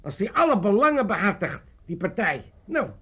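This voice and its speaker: High-voiced excitable old father. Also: moderate pace, breathy edge, full character voice. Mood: excited, pompous. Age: older. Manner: rambling, stammering, pompous. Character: excitable self-important old Amsterdam father, dreaming of politics. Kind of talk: 1980s comic radio play acting.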